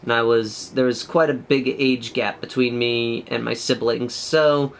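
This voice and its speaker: A young man casually talking through painting a miniature, chatty and rambling, with a loud projected voice, in a small room at home. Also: medium pitch, steady tone, measured pace, a little hiss or hum. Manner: rambling, chatty, many fillers. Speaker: miniature painting channel host. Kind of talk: casual tutorial commentary while painting.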